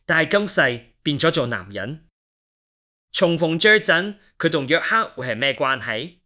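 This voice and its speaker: A man's voice: fairly high pitch, sharp tone, heavily acted. A man acting an inquisitive, level-headed investigator who narrates and speaks in an audio drama.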